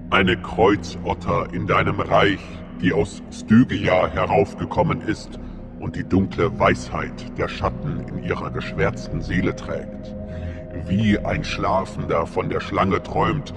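A man's voice: deep voice, gravelly, exaggerated voice. A man putting on a grave ancient sage spirit. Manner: solemn, measured, prophetic. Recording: music bed under the voice.

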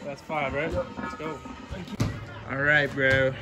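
A man's voice: mid-range voice, level tone, takes it slowly. A man vlogging a workout in casual bro slang, swearing and hyping his friends up. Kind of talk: casual gym vlog chat. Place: outdoor yard and makeshift backyard gym. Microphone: handheld camera or phone. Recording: loud music over the voice. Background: loud music.

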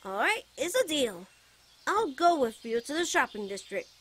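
A woman's voice: high voice, very animated, a bit bright. A woman voicing a playful, teasing, fondly protective young bard boy in a giggly ASMR character roleplay. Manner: teasing, giggly, drawn-out phrases. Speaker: voice actor.

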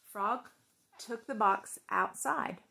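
Young woman reading slowly to children. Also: mid-range voice, vocal fry, pushed and bright. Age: young adult. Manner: simple explanations addressed to children. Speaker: storytime reader. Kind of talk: children's story read-aloud with short asides. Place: small living room, on a couch indoors.

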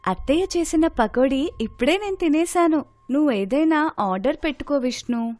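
A woman acts out a spoiled young storybook wife. Her voice is high and lively, breezy and self-assured, recorded close and dry. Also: moderate pace, no room sound, soft background music. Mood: breezy, casual. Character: spoiled, breezy rich young daughter-in-law.

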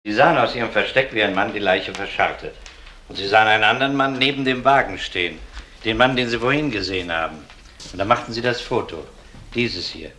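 A shrewd police detective in a crime radio drama. His voice is very low yet forceful, and he questions in a measured, courteous, probing way. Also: a little hiss or hum. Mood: accusing. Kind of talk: German crime radio play acting.

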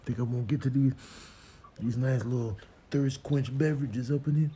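A man's voice: fairly even intonation, normal speed, mellow, a little hiss or hum, natural voice. A man voicing a sly animal thief who murmurs to himself in a comic voiceover. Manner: low, murmured, self-talking. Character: sly, muttering animal thief sneaking drinks.

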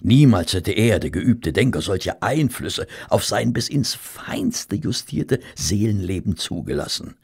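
An actor playing a courteous, reverent gentleman who narrates a detective friend's cases in an audio drama, formal and measured, with dramatic pauses and a gravelly voice. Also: lowish voice, big pitch swings, exaggerated voice. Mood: earnest, confiding.

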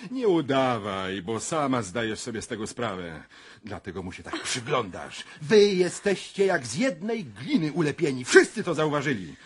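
A very raspy man's voice, playing a jealous, sneering fiancé in a radio play. His pitch swings wildly as he mocks and accuses, bitter and high-strung. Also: big pitch swings, moderate pace. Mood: accusing. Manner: bitter, mocking, agitated. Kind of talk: 1990s Polish radio drama acting.

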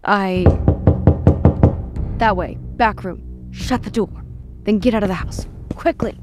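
Slow, guarded middle-aged woman played by an actress. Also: medium pitch, music in the background, close to an everyday voice. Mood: tense. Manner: measured, grave. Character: guarded, weary, secretive aunt. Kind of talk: comic dub voice acting.

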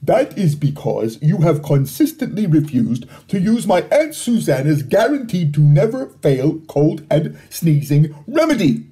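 A man voicing a proud, grumbling boy in a children's story, with a deliberate, hesitant way of listing things. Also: fairly high pitch, sing-song, warm tone. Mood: exasperated. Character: Bart, proud, grumbling boy with secret family remedies.

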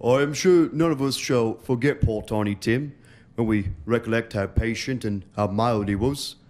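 A man playing a gentle, grieving father who consoles his family solemnly and haltingly. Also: mid-range voice, lightly acted. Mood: tender, mournful.

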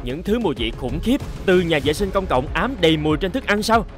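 A man playing a resentful, ambitious teenage son from a poor home in an animated fairy tale, with an accusing, impatient manner. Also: high-pitched, a little fast, faint music behind. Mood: angry, disgusted.

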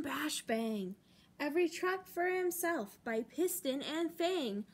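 A young American woman reading a picture book aloud to children as a storytime host, with deliberate, dramatic emphasis on key words. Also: high voice. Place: library children's room, indoors.

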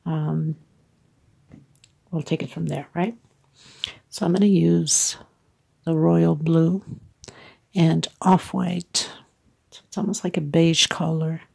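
Congested middle-aged woman, gravelly and husky, flat low delivery, chatty craft tutor. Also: slow, long pauses. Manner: chatty, warm thanks and hugs to viewers. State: congested, stuffed-up from sinus. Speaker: crochet tutorial host. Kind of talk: casual step-by-step craft tutorial. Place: at home, table with yarn.

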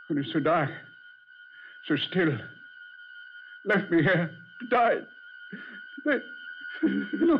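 Panicked dying man, 1940s radio horror; wildly swooping pitch, very slow broken delivery. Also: slight background noise, heavily acted. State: terrified, gasping. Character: frightened dying old man, panicking alone in darkness. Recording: muffled old radio, faint music.

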